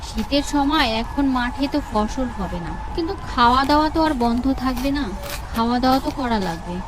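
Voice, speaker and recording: A woman playing a kind, hard-up village mother in an animated story, gentle and concerned in manner. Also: high-pitched, noisy background. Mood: resigned. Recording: music under the voice.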